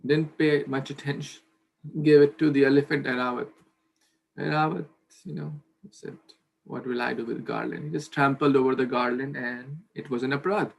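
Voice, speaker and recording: A man's voice: medium pitch, fairly even intonation, moderate pace, vocal fry, low-quality recording. A man in his thirties leading a scripture study over a video call from a small bedroom, talking earnestly with fillers and restarts. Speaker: scripture class leader. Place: small bedroom at home, indoors. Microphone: laptop webcam on video call.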